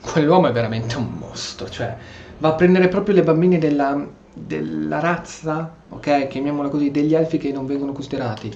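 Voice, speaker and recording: A man's voice: on the high side, fast talker, creaky, few pauses, a little mellow. An Italian man streaming a role-play game, voicing the dialogue choices and commenting as he goes. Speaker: gameplay streamer. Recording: slightly dull, game music faintly behind. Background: game music.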